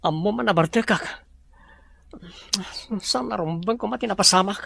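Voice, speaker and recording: A Filipino boss in a radio serial, with a gravelly creak in his voice. He is businesslike but quick to exasperation, and his conscience troubles him. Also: high voice, a bit bright, no room sound, full character voice. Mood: exasperated, troubled. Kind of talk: radio drama acting.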